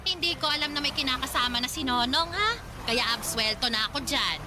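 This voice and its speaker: A woman's voice: high voice, a little fast, noisy background, close to an everyday voice. A woman playing a shameless, vain, flirty middle-aged mother with a Filipino accent in a radio drama, coquettish and dramatic. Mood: brazen, defensive. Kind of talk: Tagalog radio drama acting.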